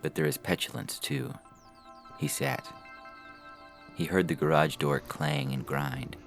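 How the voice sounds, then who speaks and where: Very deep, creaky male story narrator. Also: very animated, unhurried. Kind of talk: scripted fiction narration. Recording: music bed under the voice. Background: music.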